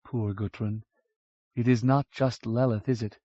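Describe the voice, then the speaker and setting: A man voicing a warm, teasing young mother from the grasslands, who speaks in a soft, low way. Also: steady tone, measured pace, dry recording, lightly acted. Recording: dull lo-fi audiobook recording.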